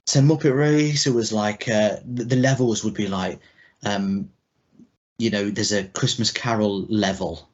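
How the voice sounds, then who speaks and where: A man co-hosting a podcast in casual chat, talking in a rambling, halting, informal way. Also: lowish voice, steady tone. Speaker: podcast co-host. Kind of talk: casual chat about retro cartoon video games. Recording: dull, muffled lo-fi audio.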